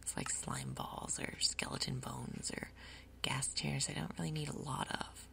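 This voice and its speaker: A woman doing soft-spoken ASMR game commentary in a breathy half-whisper, with a casual, rambling, unhurried manner. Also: rumbling low, very animated. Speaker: gaming video host.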